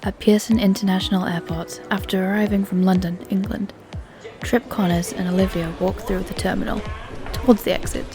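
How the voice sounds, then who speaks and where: A woman's voice: mid-range voice, steady tone, brisk, over music. A woman reading scripted story narration as the narrator of an audio drama.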